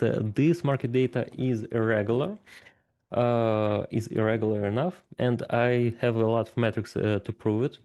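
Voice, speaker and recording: A man's voice: mid-range voice, dark tone. A man giving a technical conference talk, thinking aloud and explaining ideas step by step. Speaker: conference speaker. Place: event stage.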